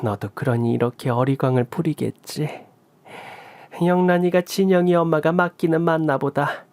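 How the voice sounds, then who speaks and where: Man reading as kind older mother-in-law, gently teasing, dry booth sound. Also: a little high, moderate pace, no room sound, pitched above the performer's own voice, close to an everyday voice. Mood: soothing. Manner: warm, soothing, teasing.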